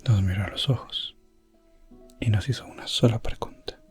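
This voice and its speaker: A man playing a calm, courteous elf prince adventurer in a soft, gentle ASMR fantasy roleplay, slipping in and out of whispers. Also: on the low side, moderate pace, breathy edge, soft background music. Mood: calm, recounting. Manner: hushed, gentle, drifting in and out of whisper.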